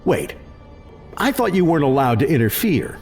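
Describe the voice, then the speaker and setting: A sceptical, sarcastic male official in a sci-fi audio thriller. His voice sits fairly high and swings widely with incredulous emphasis, over a music bed. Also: sing-song, moderate pace, vocal fry, darkish tone. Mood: exasperated, sarcastic. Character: exasperated, sceptical male government official, quick to scoff. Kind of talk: scripted sci-fi audio drama.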